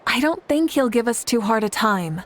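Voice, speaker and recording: A woman's voice: fairly high pitch, animated, moderate pace, slight background noise, lightly acted. A woman voicing a confident, composed young woman leader in a video game, speaking reassuringly. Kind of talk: video game character acting.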